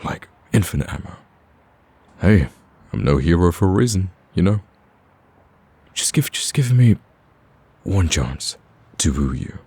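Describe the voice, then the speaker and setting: Man acting as smitten villain, rock-bottom pitch, dark mellow tone, cocky, teasing, persistent, romantic ASMR roleplay. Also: very animated, a little slow, long pauses, exaggerated voice. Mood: flirtatious. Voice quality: husky, very deep. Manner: smooth-talking, teasing, earnest pleading.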